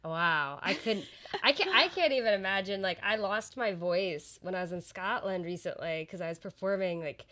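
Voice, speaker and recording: A woman's voice: mid-range voice, animated, normal speed, pushed and bright. A young woman hosting a podcast, chatting casually in a rambling, easygoing way and laughing easily. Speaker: podcast host and comedian. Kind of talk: casual chat.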